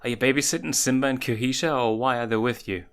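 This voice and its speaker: A man's voice: mid-range voice, fast, fairly bright tone, smooth, pitched above the performer's own voice, natural voice. A man voicing a bold, demanding young lioness cub in a story reading, confident and insistent. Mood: curious.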